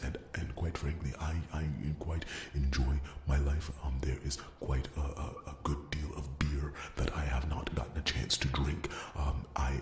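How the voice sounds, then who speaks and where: A man playing a nervous, rambling blacksmith in a fantasy ASMR roleplay, soft and breathy, often near a whisper, stammering and apologetic. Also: a bass voice, roomy sound, noisy background. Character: nervous, rambling, beer-loving male blacksmith in fantasy realm.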